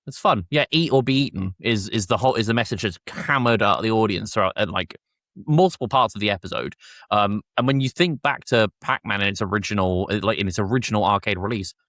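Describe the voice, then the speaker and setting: A man's voice: on the low side, fast talker, a bit bright, no echo, studio quality. A man hosting a casual review podcast, talking in an explanatory way and often correcting himself as he goes. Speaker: podcast host. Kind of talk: casual review chat with plot summaries.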